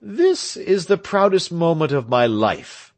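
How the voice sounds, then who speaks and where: A bashful, pompous village hero, as a man puts him on, speaks in a voice pitched above his usual one. He addresses the crowd with mock grandeur, as in a storybook read aloud. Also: sing-song, slow pace, full character voice.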